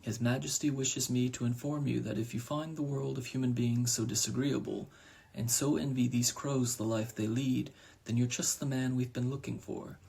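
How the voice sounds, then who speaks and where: A man doing a hoarse cackling envoy. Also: mid-range voice, steady tone, moderate pace, mellow. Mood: commanding. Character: strange robed male crow envoy, cackling.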